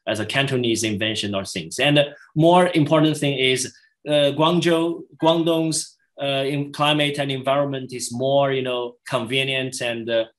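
Halting non-native professor on a video call. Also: male voice, medium pitch, steady tone. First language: Chinese. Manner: halting non-native English with many restarts. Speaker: guest lecturer. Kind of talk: academic Q&A answers.

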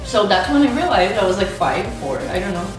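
A woman's voice: medium pitch, big pitch swings, fairly quick. A woman in her twenties chatting casually as a guest while eating. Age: 20s. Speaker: guest friend in a vlog. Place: indoor room at a table. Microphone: camera a few feet away. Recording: very echoey room, distant mic, music behind.

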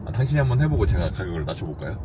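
A man's voice: deep voice, big pitch swings, rapid-fire. A young man with a Busan dialect talking in casual banter from inside a car, brash and swearing freely. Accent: Busan Gyeongsang dialect. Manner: brash, swearing, mimics local voices. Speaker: local guide driving a car. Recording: loud road noise in car.

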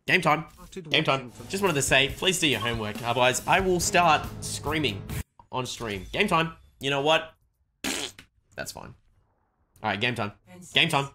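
An excitable video game streamer chatting exclamatorily on a livestream. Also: medium pitch, moderate pace, pauses often, soft background music.

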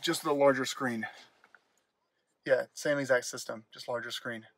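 A man's voice: medium pitch, fairly even intonation, a little slow, a bit bright, slight background noise. A man giving a casual car walkaround on a dealership lot, thinking aloud with fillers and restarts, recorded on a handheld phone. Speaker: car vlogger. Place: outdoors at a car dealership lot, then inside a car. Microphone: handheld phone camera.

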